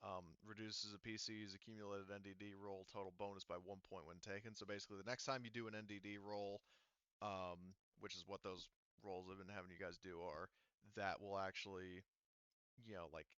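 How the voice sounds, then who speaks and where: Male game master, crisp and forward, casual halting delivery, dry close sound with slightly muffled fidelity. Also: sits low, level tone, a bit bright, no echo. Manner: halting, filler-heavy, rambling explanations. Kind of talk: casual narration of tabletop game.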